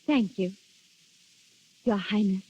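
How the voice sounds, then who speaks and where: A woman's voice: mid-range voice, slow, warm tone, husky. An actress playing a demure, dreamy young noblewoman in a 1930s radio drama. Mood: dreamy, enchanted. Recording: muffled, sizzling 1930s radio recording.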